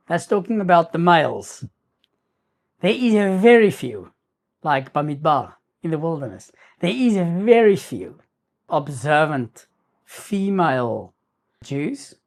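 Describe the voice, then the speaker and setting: Man telling a rambling story. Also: a little high. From South Africa. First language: Afrikaans. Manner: rambling, restarts, sprinkles in Hebrew terms. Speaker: YouTuber. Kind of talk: casual storytelling to camera.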